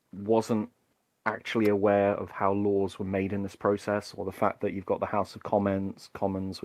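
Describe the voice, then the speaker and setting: A man's voice: on the low side, a little mellow, dry recording. A British man co-hosting a podcast, speaking unhurriedly with many ums and uhs. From United Kingdom. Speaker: podcast co-host. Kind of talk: casual chat.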